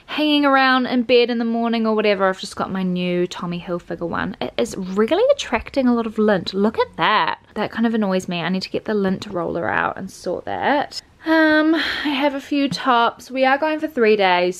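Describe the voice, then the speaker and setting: A woman's voice: medium pitch, fairly bright tone. A woman, chatting casually to camera in her bedroom, bubbly and full of ums and likes. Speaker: vlogger. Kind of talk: casual chatty vlog talk. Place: bedroom at home, indoors.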